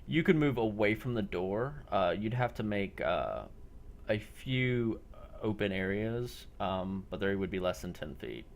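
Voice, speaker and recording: Man, low voice, game master for an apocalypse-style role-play. Halting, deliberate delivery. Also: animated, creaky, a little hiss or hum.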